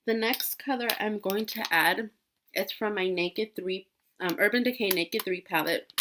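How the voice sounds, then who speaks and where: A woman's voice: mid-range voice, unhurried, background noise. Chatty woman with a cold. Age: 20s. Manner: chatty, lots of fillers and restarts. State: sick with a cold. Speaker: beauty vlogger. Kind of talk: casual makeup tutorial.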